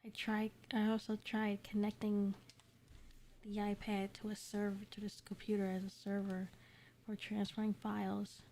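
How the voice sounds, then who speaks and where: A woman's voice: mid-range voice, completely flat delivery, moderate pace, a little mellow. A woman livestreaming a game, chatting casually in a rambling way while she plays. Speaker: game streamer. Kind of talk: casual gaming livestream chat. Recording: clearly noisy livestream audio.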